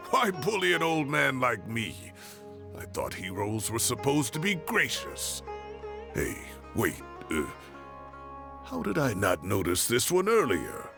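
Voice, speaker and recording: A man voicing an ageing, wry and sentimental professor with a drawn-out, self-deprecating manner in a video game. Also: mid-range voice, gravelly, full character voice. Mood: teasing, fond. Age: older. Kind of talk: animated video game voice acting.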